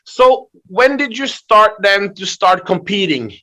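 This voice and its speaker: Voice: mid-range voice, expressive, unhurried, bright, forceful tone. A man hosting a conversational video interview, asking questions and reacting with short interjections. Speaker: interview host.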